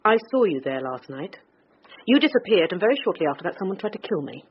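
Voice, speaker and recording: A woman's voice: on the low side, big pitch swings, fast. Persistent woman detective. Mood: probing, insistent. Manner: probing, clipped questioning. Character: sharp, persistent female police detective. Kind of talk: radio drama acting. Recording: muffled radio drama, faint noise.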